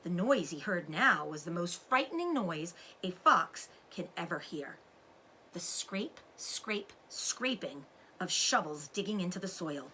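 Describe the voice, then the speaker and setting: Animated woman reading a children's story aloud. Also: lowish voice, measured pace, a little hiss or hum. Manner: animated, explains words to children. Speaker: teacher-like read-aloud host.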